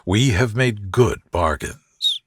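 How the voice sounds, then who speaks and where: A man voicing a reserved, devoted gentleman in love, in a soft murmur for a bedtime story. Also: on the low side, expressive, slow pace, slightly rough, no room sound, clean studio sound, heavily acted. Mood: reflective, fond. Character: devoted, humbled, reserved gentleman lover, Darcy. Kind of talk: soothing sleep-story character reading.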